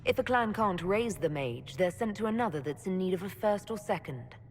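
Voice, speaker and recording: An actress playing a composed, direct elf mage heroine in a video game. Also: female voice, medium pitch, lively intonation, brisk, a little mellow, lightly acted. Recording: reverberant room, faint background noise.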